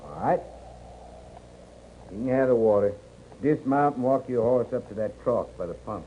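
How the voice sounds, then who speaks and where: An actor playing a hostile, suspicious horse thief guarding his land, with a rural American accent and a curt, gruff manner, in 1950s Western radio style. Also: medium pitch, expressive, moderate pace, close to an everyday voice. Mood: suspicious, grudging. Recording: muffled, noisy 1950s radio recording.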